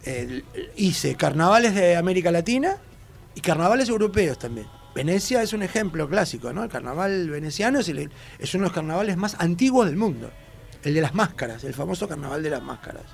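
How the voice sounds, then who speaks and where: Lively Argentine man with gravelly voice. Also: fairly high pitch, fairly quick. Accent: Argentine Spanish. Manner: animated, rambling with repeated words. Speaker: radio host. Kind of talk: educational radio chat.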